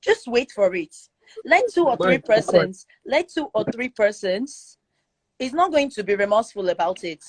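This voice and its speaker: A woman with a Nigerian accent giving her opinion forcefully in a live chat room, repeating her points for emphasis. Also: fairly high pitch, big pitch swings, moderate pace, dry recording, poor audio. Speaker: guest speaker in a live audio chat room. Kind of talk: opinionated casual commentary.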